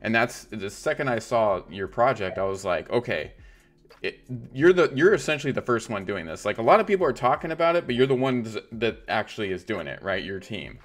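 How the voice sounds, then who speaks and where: A man hosting a live stream chats with a guest in a rambling way. His voice sits fairly low, and he fills his talk with "like" and "right?". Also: sing-song, brisk. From Los Angeles area. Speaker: stream host. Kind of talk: casual interview chat.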